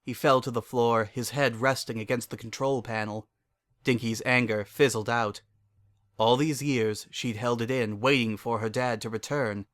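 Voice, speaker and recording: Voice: mid-range voice, a bit bright, no room sound. A narrator giving a dramatic scripted reading of a story.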